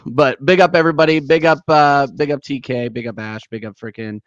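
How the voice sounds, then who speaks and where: A man guest on a football chat, upbeat and giving shoutouts before leaving. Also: mid-range voice, fairly even intonation, brisk, no room sound, lo-fi sound. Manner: upbeat sign-off, "big up" shoutouts. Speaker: podcast guest.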